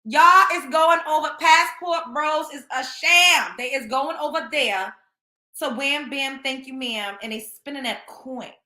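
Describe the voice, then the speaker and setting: A woman's voice: sits high, a little slow, pushed and bright, roomy sound. A young woman, hosting a show and giving a blunt, scolding commentary monologue that repeats its points. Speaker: show host.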